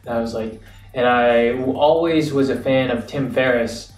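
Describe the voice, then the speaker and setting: A young American man telling his story as an interview guest, relaxed and reflective, with frequent fillers. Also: medium pitch, normal speed. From United States. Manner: relaxed, reflective, many fillers like "you know". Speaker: guest, entrepreneur. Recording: roomy, distant mic, faint ambience.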